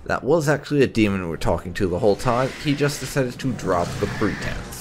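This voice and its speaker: A man's voice: on the low side, expressive, fairly quick. A man hosting a gaming video, giving wry roleplay commentary and replying in character.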